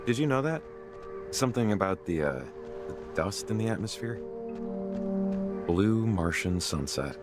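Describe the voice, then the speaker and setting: A man's voice: fairly low pitch, lively intonation, unhurried, long pauses. A man playing an easygoing, wry, friendly local in a video game, with a folksy, musing manner. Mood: relaxed, wry. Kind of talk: casual video game dialogue. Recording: loud music behind the voice. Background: loud music.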